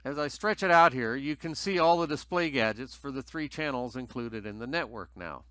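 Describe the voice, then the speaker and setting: Bright-voiced man narrating a technical tutorial. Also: medium pitch, lively intonation, normal speed, dry recording. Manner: methodical, explanatory. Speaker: tutorial presenter.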